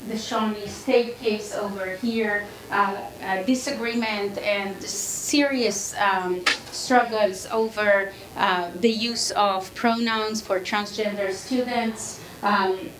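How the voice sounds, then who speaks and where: Israeli-accented woman professor, slow halting lecture delivery, echoey hall. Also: mid-range voice, steady tone, noisy background. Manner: halting, many fillers. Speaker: guest speaker, professor. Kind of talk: public lecture.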